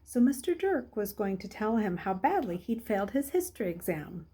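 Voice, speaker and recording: Slightly husky woman reading aloud. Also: mid-range voice, very animated, moderate pace, dark tone. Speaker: story reader. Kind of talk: reading a children's novel aloud.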